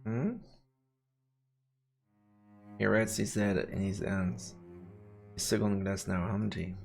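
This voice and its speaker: A man's voice: lowish voice, little pitch movement, takes it slowly, creaky. A man with a French accent reading game text aloud and adding casual, playful, theatrical commentary as a live streamer. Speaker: live streamer playing a visual novel. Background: faint game music.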